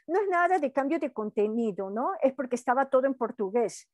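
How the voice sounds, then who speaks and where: A woman's voice: high voice, lively intonation, fairly quick, dry recording, studio quality. A woman from Peru giving an online university lecture in a conversational way, often adding "¿no?" to check in with students. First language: Quechua heritage, lives in Brazil. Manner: conversational, frequent "¿no?" tag questions. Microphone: video call.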